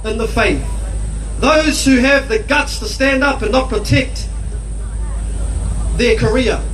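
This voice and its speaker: A man with a New Zealand accent, a pastor giving a forceful speech at an outdoor rally, often shouting. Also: very high-pitched. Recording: distant mic with loud crowd and street noise.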